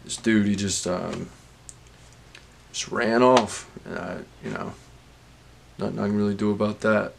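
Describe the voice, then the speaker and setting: A man's voice: fairly low pitch, fairly even intonation, a little slow, creaky, pauses often, a little hiss or hum. An actor playing a desperate, evasive male drug dealer in a crime drama, slangy and naturalistic. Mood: evasive, nervous. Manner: slangy, hesitant, pleading.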